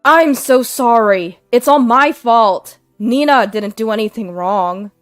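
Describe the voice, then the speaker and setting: A woman voicing a loud, self-righteous cheating husband. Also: on the high side, expressive, slow, no room sound, studio quality, faint music behind, pitched above the performer's own voice. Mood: apologetic. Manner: loud, insistent.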